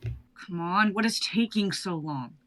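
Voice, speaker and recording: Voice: mid-range voice, slow, fairly bright tone. A performer reading a fearless sorceress in a live drama reading, cool, cutting and self-assured. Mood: confident. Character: fearless female sorceress, claims to be a god.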